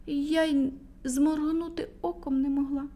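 A woman's voice: sits high, level tone, a little slow, mellow, pitched above the performer's own voice. A woman voicing a delicate, polite young princess in a children's storybook reading. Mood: earnest.

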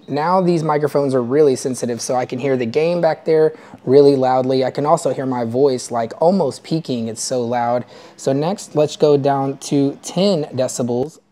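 A man's voice: a little high, warm tone. A man in his thirties hosting a camera gear tutorial, explaining settings step by step in a friendly way, recorded on clip-on wireless mics in a home living room. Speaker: host of a filmmaking gear channel.

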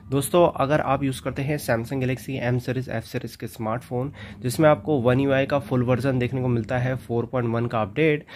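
A man hosts a casual phone tutorial in a medium-pitched voice. He walks viewers through things step by step and keeps addressing them as friends. There is faint noise and room ambience behind him. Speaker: tech tutorial host. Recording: steady background noise and ambience.

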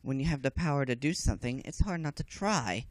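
A man's voice: medium pitch, lively intonation, fairly quick, dry recording, lightly acted. A man in an anime fan dub playing a coldly self-justifying alchemist, smug and taunting. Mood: cold, resigned.

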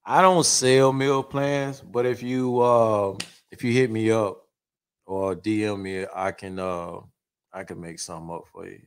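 A man's voice: on the low side, steady tone, a little slow, clean tone. A young man from Florida, chatting casually on a studio talk show in a laid-back, slangy way, speaking into a studio mic on a stand. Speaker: podcast guest, trainer. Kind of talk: casual chat. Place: studio set.

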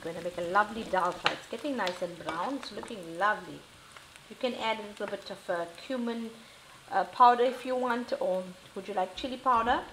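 A woman's voice: medium pitch, fairly quick. A middle-aged woman with a South African Indian English accent, chatting reassuringly as she demonstrates a recipe at home. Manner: chatty, reassuring, talks while cooking. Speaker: cooking show host. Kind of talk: casual cooking demonstration. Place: home kitchen, indoors. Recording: echoey kitchen with frying noise.

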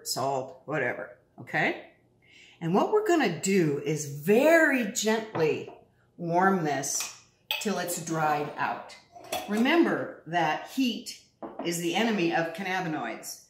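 A woman in her fifties hosting a casual cooking demo in her home kitchen, talking upbeat and straight to viewers. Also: lowish voice. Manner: chatty, upbeat, addresses viewers directly. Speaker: cooking show host. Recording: echoey kitchen, faint noise.

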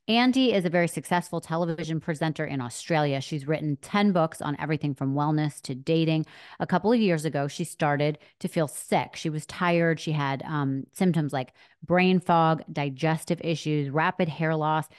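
Woman, podcast host, lowish voice, chatty, affirming, close dry studio mic. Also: dry recording. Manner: warm, chatty, quick to laugh and affirm. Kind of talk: scripted intro then casual interview chat. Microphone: studio microphone on boom arm.

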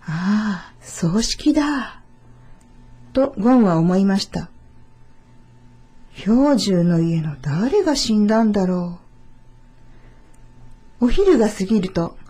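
A woman reading a children's tale as scripted narration, in a measured, expressive storytelling manner. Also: medium pitch, slightly rough.

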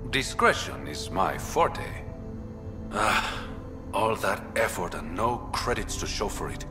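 An actor playing a smooth, wry, roguish smuggler in a space video game, with a teasing, self-mocking manner. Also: male voice, fairly low pitch, big pitch swings, slow. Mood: wry, suave. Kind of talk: video game dialogue acting. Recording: music behind the voice.

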